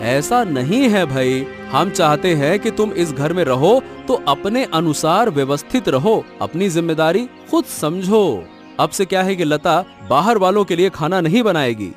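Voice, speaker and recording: A mild papaya grower played by a man talks in a cartoon moral story. He is patient and forgiving, with a fairly high voice. Quiet music runs underneath. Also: lively intonation, moderate pace, lightly acted. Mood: patient, firm.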